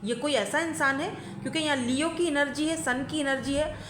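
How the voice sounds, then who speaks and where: A woman giving a casual tarot reading, hoarse from a sore throat and flu, chatty and often checking in with her listener while mixing in English words. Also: high-pitched, a little fast, a bit bright. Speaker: tarot reader. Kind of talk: casual pick-a-card reading to camera. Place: indoors at home by a window. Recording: echoey room.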